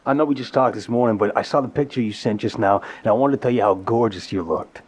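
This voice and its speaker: A man playing an apologetic, smitten mafia boss in an intimate audio roleplay, tender and wryly self-deprecating, with a husky voice. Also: on the low side, quick pace, darkish tone, a little hiss or hum, lightly acted. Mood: affectionate, admiring. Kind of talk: intimate ASMR roleplay, conversational voicemail monologue.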